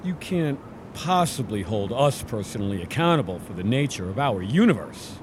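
A man's voice: medium pitch, sing-song, moderate pace, lightly acted. A man playing an earnest scientist in a sci-fi audio drama, with a dramatic way of talking. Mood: indignant realization. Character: earnest, astonished scientist on a perilous expedition. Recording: noisy background hum.

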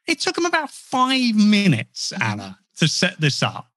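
A man's voice: sits high, wildly swooping pitch, unhurried, pushed and bright, no room sound, clean studio sound. A man chatting as a podcast guest, rambling and self-deprecating with frequent restarts. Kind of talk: casual interview talk. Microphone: podcast mic.

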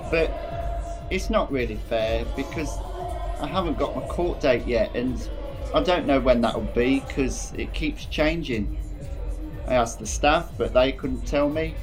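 A man's voice: mid-range voice, measured pace, music in the background, natural voice. An actor playing an anxious young prisoner waiting for his court date, speaking in simple, hesitant phrases in an audio drama monologue. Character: young male prisoner, anxious, awaiting trial. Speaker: prisoner on remand.

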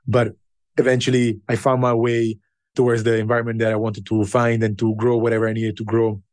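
A man's voice: fairly low pitch, little pitch movement, darkish tone, no echo, studio quality. Thoughtful man speaking English as a second language. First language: not English. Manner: unhurried and thoughtful, with pauses and fillers. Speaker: podcast guest. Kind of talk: reflective storytelling.

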